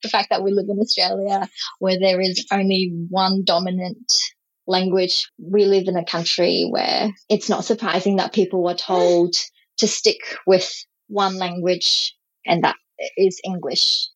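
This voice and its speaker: A woman's voice: lowish voice, little pitch movement, unhurried, no room sound. A woman answering as an interviewed expert, explaining the issue in a thoughtful way. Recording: dull, slightly lo-fi audio.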